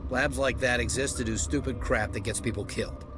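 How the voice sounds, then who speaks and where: An actor playing a blunt, weary security captain in a video game, with a folksy, colloquial way of talking. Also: male voice, mid-range voice, brisk, background noise, lightly acted. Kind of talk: video game dialogue acting.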